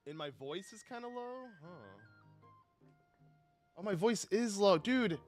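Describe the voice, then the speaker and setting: A man's voice: high voice, expressive, takes it slowly, music in the background. A young man casually commenting on a live game stream, rambling and correcting himself with little chuckles into a desk microphone.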